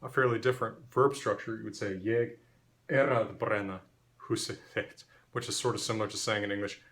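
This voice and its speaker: A man explaining language history in a measured, clear, professorial lecture. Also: on the low side, steady tone, fairly bright tone.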